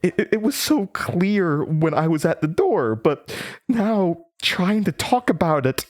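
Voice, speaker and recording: A man voicing a worn, frightened woman nurse, scattered and halting, in a horror audio drama. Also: high-pitched, sing-song, voice pushed up. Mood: distraught, pleading. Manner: stammering, halting.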